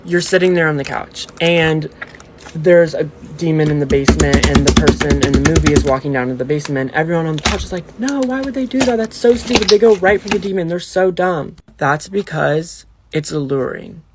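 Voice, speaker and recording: A man's voice: a little high, fairly quick, noisy background. A man telling a personal story casually and emphatically, with conversational slang. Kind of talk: casual first-person storytelling for social video.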